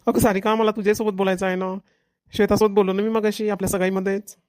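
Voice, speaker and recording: A woman's voice: medium pitch, flat delivery, fast talker, a little mellow, dry recording, studio quality, natural voice. A woman voicing an eager, smitten young fiancé in a cartoon comedy. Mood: shy, smitten. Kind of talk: Marathi cartoon comedy dialogue.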